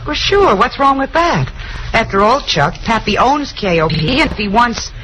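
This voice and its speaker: A woman's voice: a little high, big pitch swings, moderate pace. An American actress playing a breezy, familiar young female secretary in a 1950s radio drama, on a noisy old recording. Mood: breezy, puzzled.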